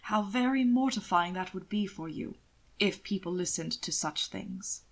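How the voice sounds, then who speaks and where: A woman voicing a sardonic, coolly polite aristocratic gentleman in an audiobook, with a droll, formal, understated delivery. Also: mid-range voice, normal speed, creaky. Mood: cool disdain. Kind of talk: audiobook character dialogue.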